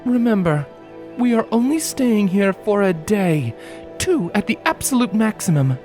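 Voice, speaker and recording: A woman playing a wary ex-soldier pony, speaking in a formal, commanding, measured way in a dramatic story reading. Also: medium pitch, sing-song, a little mellow, faint music behind. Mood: serious. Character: stern, wary female pony, ex-soldier fugitive. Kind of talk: dramatic audiobook acting.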